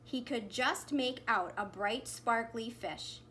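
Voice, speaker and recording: A woman's voice: fairly high pitch, takes it slowly, sharp tone. A woman reading a picture book aloud expressively to young children. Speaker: kindergarten principal. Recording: faint background noise.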